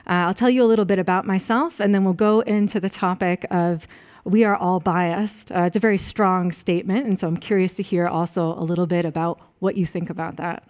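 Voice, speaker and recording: A woman's voice: lowish voice, steady tone, brisk, a little mellow. A woman with an American accent, a university professor, giving an introductory lecture in a friendly, conversational way and asking the audience questions. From Rochester, New York, USA.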